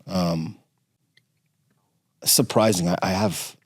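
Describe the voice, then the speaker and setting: Deep-voiced man, dry close sound. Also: steady tone, dry recording. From Romania. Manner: halting, many fillers and restarts. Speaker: podcast guest. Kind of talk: conversational interview storytelling.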